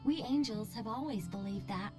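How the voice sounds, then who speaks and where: An actress playing a gentle, earnest female angel in a fantasy game, speaking politely and sincerely. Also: a little high, normal speed, over music, natural voice.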